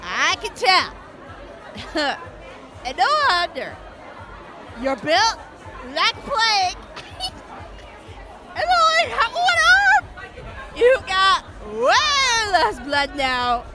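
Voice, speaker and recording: A woman voicing a brash, tipsy young woman who teases loudly in an audio drama. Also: extremely high pitch, very animated, very slow, long pauses, over loud music, over a noisy crowd, full character voice. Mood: tipsy teasing. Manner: loud, teasing, swings up and down.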